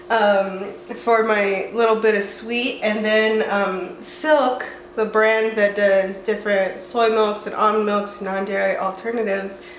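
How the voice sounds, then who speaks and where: Young woman with a stuffy cold voice rambling on about her coffee, kinda high, roomy echo. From southwestern United States. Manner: chatty, rambling, self-mocking. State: congested from a cold. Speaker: vlogger. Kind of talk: casual chat. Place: at home. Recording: roomy echo, faint room noise.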